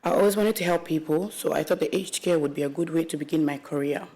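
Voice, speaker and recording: A woman in her twenties from Congo, a witness who reads her prepared statement aloud at a public hearing in a steady, careful way, with the odd restart. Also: sits low, fast. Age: 20s. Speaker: witness, aged care worker.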